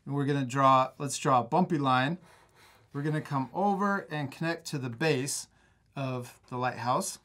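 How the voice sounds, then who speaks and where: A man's voice: mid-range voice, a bit bright. A man in his thirties teaching a step-by-step drawing lesson for children, upbeat and encouraging. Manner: upbeat, encouraging, explains slowly. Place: art studio.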